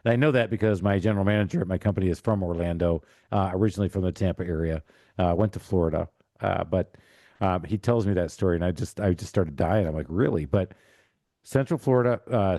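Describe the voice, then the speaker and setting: A man's voice: deep voice, fast talker, warm tone, dry recording, studio quality. A middle-aged man hosting a casual interview with jokey banter. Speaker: podcast host.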